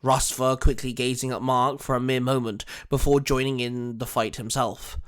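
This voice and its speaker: A man's voice: medium pitch, little pitch movement, moderate pace, clear, resonant tone. A man reading scripted fan fiction as the narrator of an action story.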